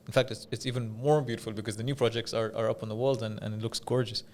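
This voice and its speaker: A man from Dubai speaking as an interview guest, telling stories in a measured, conversational way with pauses and repeated phrases for emphasis. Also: medium pitch, fairly even intonation, darkish tone. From Dubai, UAE. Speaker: podcast guest, business coach.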